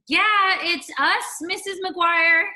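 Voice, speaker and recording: A woman's voice: very high-pitched, takes it slowly, bright, forceful tone, exaggerated voice. A woman playing a bossy, scheming thirteen-year-old best friend in a sitcom table read. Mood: bossy, pressed.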